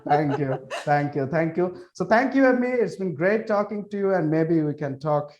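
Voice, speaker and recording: A man's voice: a little high, lively intonation, moderate pace, a little mellow, lo-fi sound. A man hosting a podcast interview in casual, chatty talk, often repeating himself. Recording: roomy, echoing sound.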